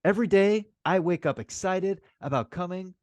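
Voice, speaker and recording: A man's voice: high-pitched, moderate pace, darkish tone, no room sound. A man co-hosting a sports podcast in casual, opinionated chat, emphatic and informal, repeating phrases for emphasis.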